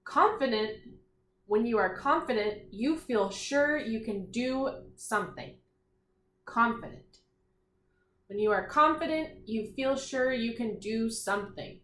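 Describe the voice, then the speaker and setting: A woman teacher giving a read-aloud vocabulary lesson to young children, enunciating deliberately, repeating phrases and putting questions to her listeners. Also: a little high, lively intonation, fairly bright tone. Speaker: primary school teacher. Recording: echoey room.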